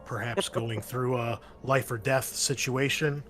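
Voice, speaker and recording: A man narrating as game master, relaxed and full of ums and uhs. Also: mid-range voice, unhurried, faint music behind. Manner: relaxed narration with many fillers.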